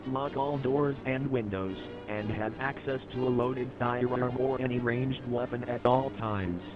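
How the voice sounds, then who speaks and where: A man reading a scripted emergency broadcast announcement in a formal, instructive manner. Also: medium pitch. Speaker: announcer in a horror clip. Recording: dull lo-fi analog horror tape. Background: prominent music.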